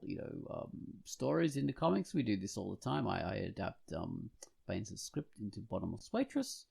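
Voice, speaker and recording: A man chatting casually as a podcast host, rambling and halting as he goes, growing animated when enthused. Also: mid-range voice, creaky, husky. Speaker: podcast host and comic artist.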